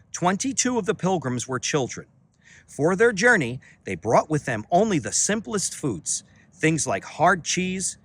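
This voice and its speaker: A man reading a children's story aloud outdoors in an expressive, dramatic way, with deliberate phrasing and emphatic pauses. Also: fairly high pitch, slightly rough. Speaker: children's read-aloud reader, teacher and entertainer. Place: outdoors on a beach.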